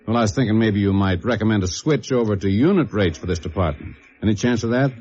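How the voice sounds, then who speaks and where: A man's voice: fairly low pitch, fast talker, a little mellow, natural voice. A bitter American shop foreman, acted by a man in an old radio drama. He is confrontational and sarcastic, sneering his way through every exchange. The recording is muffled and dated. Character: bitter, surly factory foreman, suspicious and sarcastic. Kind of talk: 1950s radio drama acting.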